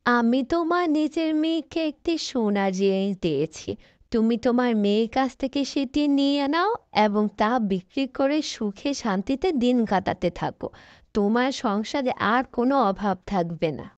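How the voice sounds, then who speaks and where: A woman's voice: on the high side, animated, moderate pace, darkish tone, smooth, no room sound, close to an everyday voice. A woman voicing a soothing, mysterious magical helper in a cartoon fairy tale, on a dull lo-fi track. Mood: generous.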